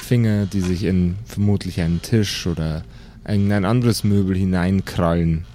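A man narrating an improvised tabletop game as game master, in a measured, suspenseful way with dramatic pauses. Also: sits low, steady tone, warm tone, slight background noise.

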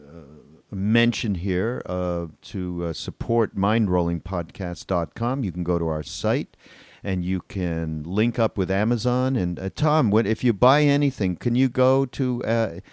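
An older man hosting a podcast in casual chat, with a meandering, halting delivery. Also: sits low, darkish tone, smooth, dry recording. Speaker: podcast host.

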